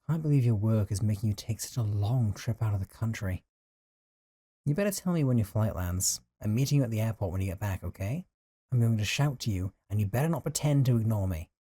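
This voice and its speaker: A man's voice: lowish voice, mellow, husky, no echo, clean studio sound. A man acting a possessive, lovesick young man in an intimate audio roleplay, talking in a casual, teasing, conversational way with sighs. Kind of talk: intimate audio roleplay drama, one side of a call.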